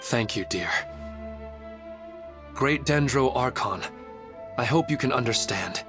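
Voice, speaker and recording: Slow, gentle father. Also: mid-range voice, animated, music in the background.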